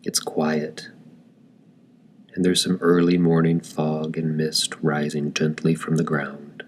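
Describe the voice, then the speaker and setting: Male meditation guide: extremely low pitch, slow delivery, gentle and calm, with long deliberate pauses. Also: slightly rough. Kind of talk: guided meditation, slow soothing scripted narration.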